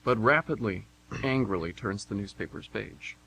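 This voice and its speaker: A man's voice: lowish voice, normal speed, creaky, pushed and bright, low-quality recording. A man reading scripted narration and credits as announcer for an amateur radio theatre. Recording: hum and faint background noise.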